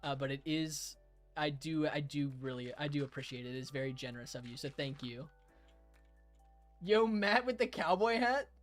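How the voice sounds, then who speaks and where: Young man, hoarse, casual streamer chatter, slightly high pitch, soft music in background. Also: animated, moderate pace. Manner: chatty, chuckles often, thanks viewers warmly. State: hoarse, raspy throat. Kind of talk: casual live-stream chat reading viewer comments.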